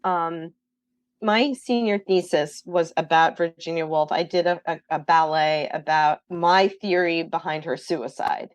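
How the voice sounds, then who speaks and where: Low-voiced thoughtful woman, dry close sound. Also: a little slow, dry recording. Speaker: guest author. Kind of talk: podcast interview.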